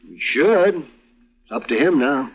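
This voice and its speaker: A fussy old frontier doctor. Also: male voice, on the high side, animated, takes it slowly. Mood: concerned. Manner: chuckling, fussing, reassuring. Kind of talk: 1950s radio drama acting. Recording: muffled old radio broadcast with hiss.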